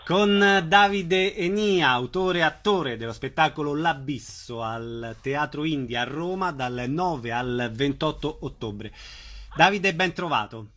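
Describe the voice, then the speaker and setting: Bright, higher-voiced male radio host. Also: expressive, takes it slowly. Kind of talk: radio theatre programme presenting. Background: faint music.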